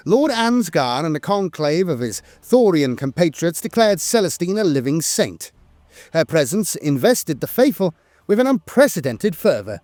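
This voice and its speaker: Higher-pitched man narrating, solemn and dramatic. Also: darkish tone, dry recording, studio quality. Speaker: narrator of a lore channel. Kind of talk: scripted dramatic lore narration.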